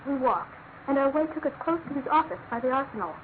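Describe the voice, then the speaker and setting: A young British woman, a loyal and anguished fiancée in a radio mystery. Her voice is high and refined, with earnest, halting emphasis. The recording is thin and phone-like, lightly noisy. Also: lively intonation. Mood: grieving, pleading. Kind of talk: classic radio drama acting. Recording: noisy, narrow-band old radio recording.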